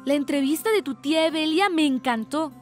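A woman acting an eager, high-voiced young friend. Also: fairly quick, soft background music, lightly acted. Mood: enthusiastic. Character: enthusiastic young female friend and activist. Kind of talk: radio drama acting.

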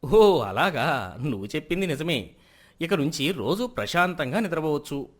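A man's voice: fairly high pitch, big pitch swings, normal speed. A man playing a proud, status-minded middle-aged merchant husband in a moral story, self-justifying and then conceding. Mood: satisfied, curious.

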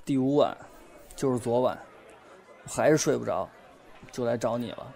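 A man's voice: mid-range voice, moderate pace, creaky, mellow, some chatter behind, natural voice. A young man acting in an audio drama as an anxious, sleepless bookshop owner who hesitates and rambles as he confides in a friend. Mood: troubled, confessional. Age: young adult.

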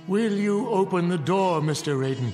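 An actor playing a frightened reverend who is stiff and indignant, in a radio drama. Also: male voice, sits high, animated, moderate pace, a little mellow, long reverb tail, soft background music. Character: frightened reverend pleading to leave.

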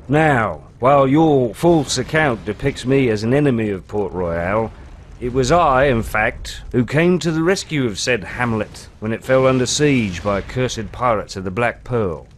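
An actor voicing a cocky, sly pirate rogue in a video game, glib and drawling, with mock-grand storytelling. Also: male voice, medium pitch, animated, measured pace, a little hiss or hum, natural voice. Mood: boastful storytelling. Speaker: narrator and lead character. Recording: music under the voice.

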